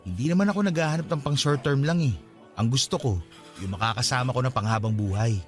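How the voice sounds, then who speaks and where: An actor playing an earnest, eager suitor on a date in a radio drama, speaking conversationally with a Filipino accent. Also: male voice, medium pitch, fast talker, soft background music, natural voice. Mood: earnest, hopeful. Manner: courting, conversational.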